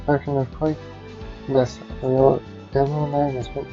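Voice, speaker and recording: A man's voice: medium pitch, level tone, moderate pace. A man streaming a game, reading its text aloud. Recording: muffled audio with game music.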